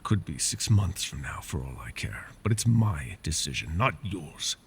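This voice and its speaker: A man voicing a possessive, scheming male dragon in an ASMR roleplay, teasing and drifting into soft whispers. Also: big pitch swings, normal speed, a little hiss or hum, exaggerated voice. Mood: scolding. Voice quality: gravelly, low.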